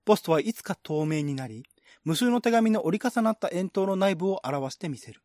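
A man reading a screenplay aloud as scripted narration in radio-drama style, with a measured, descriptive manner. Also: high-pitched, lively intonation, a little mellow, no echo, clean studio sound. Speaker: narrator, reader.

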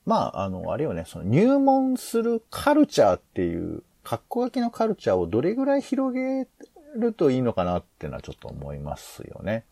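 Male co-host, wide-ranging pitch with heavy vocal fry, tentative and informal. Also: wildly swooping pitch, moderate pace, mellow, no room sound. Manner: hesitant, tentative, circling around points. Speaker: podcast co-host. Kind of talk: casual discussion.

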